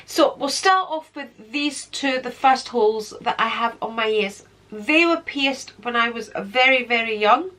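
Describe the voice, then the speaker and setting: A woman's voice: a little high, bright, forceful tone. A middle-aged woman in a casual vlog chat, a little congested from a cold, talking easily as she points things out. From Britain. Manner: chatty, counting and pointing things out. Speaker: beauty vlogger.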